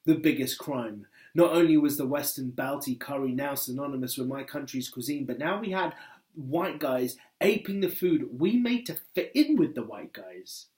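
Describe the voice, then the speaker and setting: A man's voice: mid-range voice, lively intonation, moderate pace, darkish tone. A man reading a personal essay aloud in a wry, conversational way, leaning on key words for emphasis. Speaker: book editor and essayist reading his work.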